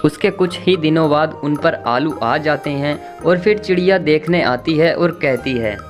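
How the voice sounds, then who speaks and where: The man who tells the tale between characters' lines in an online kids' animated story, light music beneath. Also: on the high side, a little slow. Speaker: narrator. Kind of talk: scripted children's story narration.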